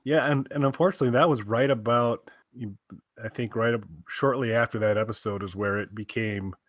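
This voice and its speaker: A man's voice: mid-range voice, steady tone, fairly quick, dry recording, highs cut off. A man co-hosting a casual podcast, talking in an enthusiastic, rambling way and repeating himself, recorded over an internet call. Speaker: podcast co-host.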